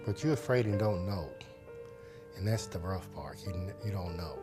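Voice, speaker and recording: Deep-voiced man over loud music. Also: animated, moderate pace. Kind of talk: personal interview testimony.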